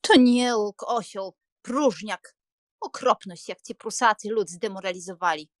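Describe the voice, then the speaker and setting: A woman voicing a choleric, scornful elderly gentlewoman in an audiobook, emphatic and grumbling. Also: mid-range voice, dry recording, clean studio sound, natural voice. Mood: indignant. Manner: emphatic, grumbling, drawn-out exclamations. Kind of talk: dramatized audiobook reading.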